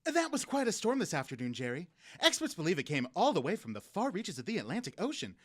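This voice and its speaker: A man's voice: high-pitched, huge pitch swings, fast, fairly bright tone, exaggerated voice. A man playing an upbeat, chatty local TV news presenter in an audio drama. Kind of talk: news broadcast patter.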